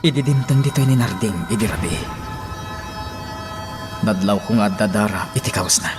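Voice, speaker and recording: An actor with an Ilocano Filipino accent playing an earnest, concerned parish priest in a radio drama, with a grave, measured delivery. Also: male voice, lowish voice, natural voice. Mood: grave, worried. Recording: music behind the voice.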